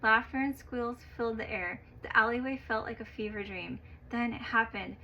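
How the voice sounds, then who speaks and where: A woman narrating a personal story in an expressive way, getting louder at the exciting parts. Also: on the high side, moderate pace, highs cut off, slight background noise.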